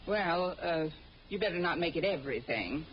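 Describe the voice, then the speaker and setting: A woman plays a sardonic, wisecracking schoolteacher in an old radio comedy. Her delivery is dry yet swoops widely in pitch, on a muffled vintage broadcast. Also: lively intonation, a little hiss or hum, close to an everyday voice. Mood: teasing. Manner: dry, sardonic, quick-witted. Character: wry, wisecracking adult female schoolteacher.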